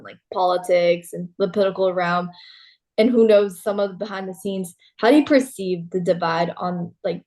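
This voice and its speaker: A woman's voice: mid-range voice, darkish tone. A woman asking interview questions in an informal way, often saying "like".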